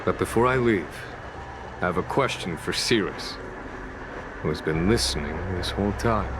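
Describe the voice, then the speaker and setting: An actor playing a wise middle-aged general in a video game, calm, measured and formal. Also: male voice, deep voice, background noise. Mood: grave. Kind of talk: game voice acting.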